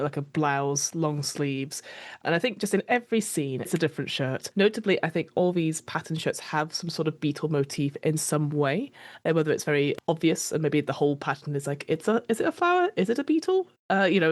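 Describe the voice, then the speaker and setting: Female roleplay podcast player, chatty and meandering, casually inventing details as she goes. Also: deep voice. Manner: chatty, rambling, self-questioning. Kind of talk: casual improvised description for tabletop roleplay.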